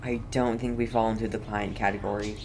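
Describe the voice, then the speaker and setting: A woman's voice: very deep voice, little pitch movement, a little fast, background noise. A woman streaming a video game with casual, amused reactions, interjecting and echoing phrases.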